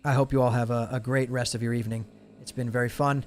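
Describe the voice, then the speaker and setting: A man's voice: mid-range voice, little pitch movement, fast, faint music behind. A man in young adulthood, chatting casually with his live stream viewers as he loses his voice. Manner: laid-back, chatty, talks to chat. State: losing his voice.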